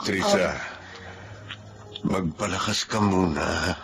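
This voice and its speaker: An actor playing a gentle, concerned uncle in a radio drama, hushed and consoling. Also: male voice, low voice, slow. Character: Uncle Lucas, gentle, concerned older man. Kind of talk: Filipino radio drama acting.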